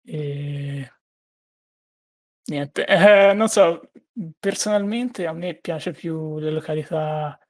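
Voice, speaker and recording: A man's voice: on the high side, slow pace, dry recording. Hesitant man, dry sound. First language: Italian. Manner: hesitant, full of "uh" fillers. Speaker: podcast guest. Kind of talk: casual chat.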